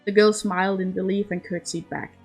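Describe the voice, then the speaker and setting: A woman's voice: medium pitch, flat delivery, a little fast, soft background music. A woman reading scripted fiction aloud as an amateur audiobook narrator.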